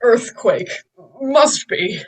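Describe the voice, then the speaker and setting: Stuffy professor read by a woman: high, swooping delivery, emphatic and lecturing, amateur drama read. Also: lively intonation, very slow, exaggerated voice, low-quality recording. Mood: agitated denial. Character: stuffy, insistent know-it-all professor in stubborn denial. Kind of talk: amateur online table read.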